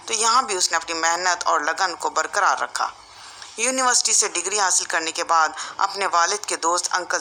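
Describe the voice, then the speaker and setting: A woman's voice: medium pitch, sharp tone, slight background noise, low-quality recording. A woman reading a scripted moral story for children in a storybook style, as if addressing a child.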